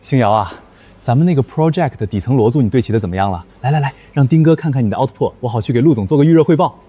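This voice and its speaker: A man playing an officious office middle manager in an animated drama, fussy and ingratiating, dropping English buzzwords into his talk. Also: mid-range voice, very fast, slightly rough. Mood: wheedling. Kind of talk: animated short-drama dialogue.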